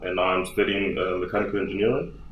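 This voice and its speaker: A Ghana-born man in his twenties, a student, speaking as a guest in a soft, laid-back way. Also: low voice, quick pace, lo-fi sound, slight background noise. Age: 20s. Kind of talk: casual chat. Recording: distant echoey room with crowd.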